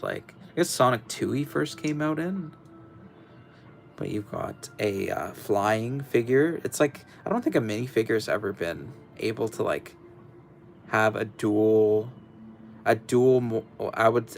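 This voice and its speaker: A young man hosting a casual livestream, talking in a rambling, hesitant way with lots of fillers and restarts. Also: slight background noise. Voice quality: deep, gravelly voice. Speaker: livestream host. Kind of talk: casual livestream chat while building.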